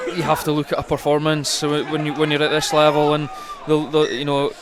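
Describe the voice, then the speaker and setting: A man's voice: a little high, completely flat delivery, a little fast. A man giving conversational live rugby analysis as co-commentator, piecing his thoughts together as he goes. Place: rugby stadium. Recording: crowd noise behind live outdoor commentary. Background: stadium crowd.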